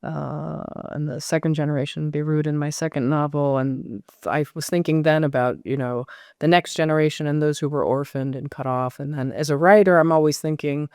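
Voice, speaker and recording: A middle-aged American woman speaks in a smooth, clear voice, close and dry. She thinks aloud in a reflective interview style, often circling back and questioning herself. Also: low-pitched, steady tone, fairly quick, darkish tone, no echo. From United States. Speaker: novelist, podcast guest.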